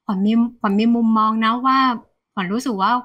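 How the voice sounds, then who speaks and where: A woman in her thirties, an economist guest, explaining the market in a lively, conversational way over a video call. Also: a little high, brisk, dull audio. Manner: animated, refers to herself by nickname, mixes English terms. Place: plain indoor room, video call.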